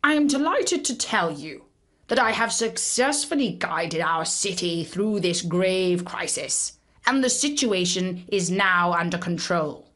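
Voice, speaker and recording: A woman's voice: fairly low pitch, expressive, measured pace, fairly bright tone. A woman voicing a pompous, self-satisfied male mayor making grand statements in a children's story.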